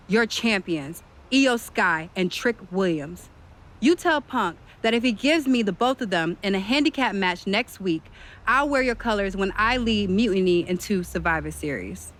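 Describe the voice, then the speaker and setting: A woman's voice: medium pitch, animated, a little slow, a bit bright. A woman playing a confident, shrewd woman wrestling star in a video game cutscene, speaking in an assertive, dismissive manner. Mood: cool, assertive.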